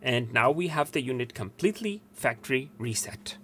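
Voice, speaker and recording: A man's voice: medium pitch, lively intonation, normal speed, bright, forceful tone, slight background noise. A man presenting a step-by-step technical tutorial in an instructional way, repeating steps for emphasis.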